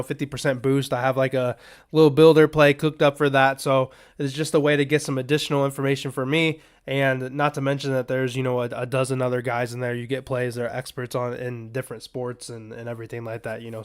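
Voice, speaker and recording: A man hosting a sports betting podcast in a loose, rambling, casual way, his voice a little raspy and strained as he is under the weather. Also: mid-range voice. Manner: rambling, loose, filler-heavy asides. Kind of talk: casual unscripted betting picks talk.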